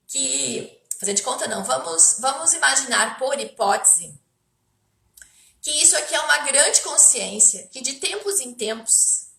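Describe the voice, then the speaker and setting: A Brazilian woman speaking as a therapist on a livestream, explaining spiritual self-help ideas in a halting way, with rhetorical questions and check-in tags. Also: sits high, gravelly, sharp tone, echoey room. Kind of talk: spiritual self-help talk to live viewers.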